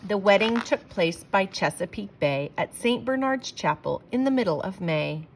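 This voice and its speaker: Expressive woman reading a children's picture book. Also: mid-range voice, moderate pace, vocal fry, slight background noise, rough recording. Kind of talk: expressive children's read-aloud of rhyming verse.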